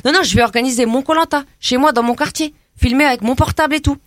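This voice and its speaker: Casting: woman as a cheeky suburban girl, banlieue slang, high and fast, radio comedy. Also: dry recording, studio quality, close to an everyday voice. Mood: chatty. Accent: French banlieue slang. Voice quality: slangy, talks in a put-on street manner. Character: cheeky young suburban woman, brash and naive. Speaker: comedy columnist. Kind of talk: scripted radio comedy sketch.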